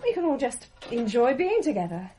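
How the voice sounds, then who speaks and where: A woman's voice: fairly high pitch, very animated, brisk, mellow, slightly rough. An actress playing an earnest young woman who tries to keep things cheerful, in a naturalistic radio play. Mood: bright, defensive.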